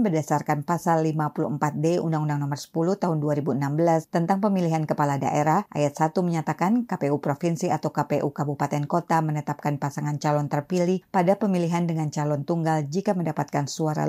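Female radio reporter, fast scripted delivery, low and mellow.

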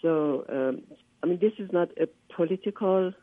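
A man whose first language is Persian, a linguist giving a radio interview by phone, often pausing to search for words. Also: high-pitched, dry recording. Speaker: linguist and conference organizer, interview guest. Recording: tinny, dry phone line.

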